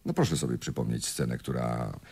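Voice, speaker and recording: A man acting a shrewd, self-assured older police inspector in a Polish radio drama, gravelly and theatrically knowing. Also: fairly quick, darkish tone. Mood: triumphant explaining. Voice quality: gravelly, very deep. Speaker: actor in a radio play.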